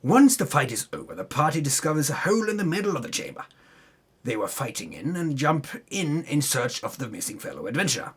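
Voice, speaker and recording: Husky man giving an animated dramatic recap. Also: a little high, fairly quick. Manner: theatrical, tongue-in-cheek. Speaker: storyteller recapping a tabletop campaign. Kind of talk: dramatic reading with wry ad-libbed asides.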